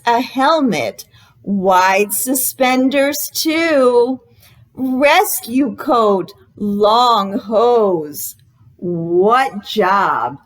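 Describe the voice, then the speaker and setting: A woman hosting a storytime for young children, reading aloud in an exaggerated, encouraging way and pausing after questions to invite guesses. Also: fairly high pitch. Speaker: children's librarian, storytime host.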